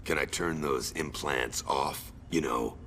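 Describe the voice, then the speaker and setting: An actor playing a gruff, cynical special forces soldier in a video game, gravelly and speaking in laconic, sarcastic lines. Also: male voice, extremely low pitch, unhurried, a bit bright, a little hiss or hum. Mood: dry, sardonic. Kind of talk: scripted game cutscene acting.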